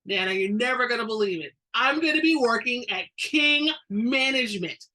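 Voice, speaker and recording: An earnest young man in a storybook reading, acted by a woman. He is sweet and excitable, with a bright, forceful sound. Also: fairly high pitch, moderate pace, pitched above the performer's own voice. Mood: excited. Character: eager, sweet young great-grandson, adult man.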